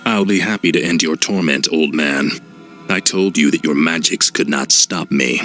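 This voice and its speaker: A young death knight prince from a fantasy game. His voice is low and full of brooding menace. He is arrogant and sardonic, with music playing underneath. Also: normal speed, fairly bright tone. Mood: cold menace. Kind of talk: scripted fantasy game acting.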